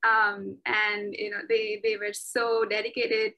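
A woman's voice: a little high, big pitch swings, takes it slowly, creaky, dull audio. A young woman in her twenties from Chennai, chatting in an interview about her law studies with many fillers and restarts. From Chennai, India. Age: 20s. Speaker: interview guest, law graduate. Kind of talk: casual interview talk.

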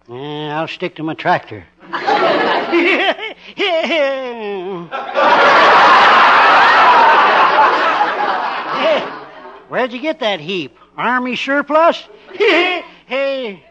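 An actor playing a cranky, teasing old farmer in a 1950s radio comedy, with a thin cackling voice and folksy manner. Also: male voice, extremely high pitch, very animated, over a noisy crowd, exaggerated voice. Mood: teasing, gleeful. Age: older. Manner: folksy, slow, hard of hearing. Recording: noisy, muffled 1950s radio recording.

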